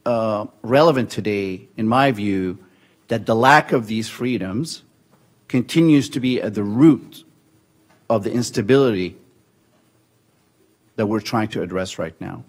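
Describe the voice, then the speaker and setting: A man in his forties, born and raised in Syria, speaks at a conference podium in a fairly low voice. He talks in a measured way, pausing on fillers and restarting phrases. A podium microphone picks him up in a meeting room. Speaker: conference panelist. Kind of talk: policy panel talk.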